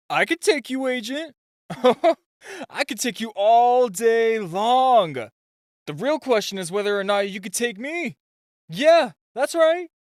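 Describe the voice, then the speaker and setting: A man's voice: extremely high pitch, no room sound, clean studio sound, exaggerated voice. A man playing a super-shy, flustered nerdy gadget inventor in an amateur audio roleplay, nervous and prone to stammering. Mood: cocky bravado.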